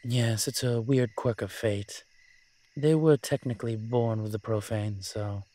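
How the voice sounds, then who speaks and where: Man acting as a slow, sly, low-voiced incubus. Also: fairly even intonation, dark tone, natural voice. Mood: wry, explaining. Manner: sly, teasing, unhurried, conversational. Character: smooth-talking roguish male incubus demon, playful and scheming. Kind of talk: roleplay audio drama.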